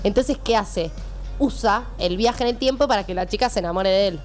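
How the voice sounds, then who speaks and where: A woman's voice: mid-range voice, fairly quick, faint music behind. A woman with a Rioplatense accent, chatting and retelling a film plot as columnist on a radio show. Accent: Rioplatense Spanish. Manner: chatty, laughing, storytelling. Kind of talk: casual radio banter.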